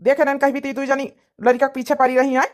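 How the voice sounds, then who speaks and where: A woman's voice: high voice, little pitch movement, quick pace, lightly acted. A woman voicing an earnest, emotional village man in a cartoon family drama, insistent and repetitive, with an Awadhi accent.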